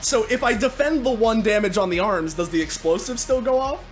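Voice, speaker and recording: A man's voice: high voice, brisk, low-quality recording. A man chatting casually as a player in a tabletop game. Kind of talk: casual chat during a game. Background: faint music.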